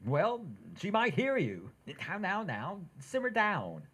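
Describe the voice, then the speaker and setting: A man playing a wry, mildly exasperated suburban husband in 1940s radio comedy, with a husky voice. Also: sits high, wildly swooping pitch, slow, fairly bright tone, exaggerated voice.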